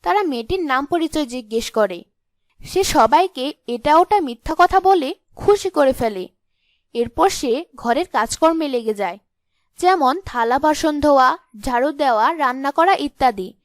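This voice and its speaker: Female storyteller, high pitch, dry sound, scripted fairy tale narration. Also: animated, a little slow, no room sound.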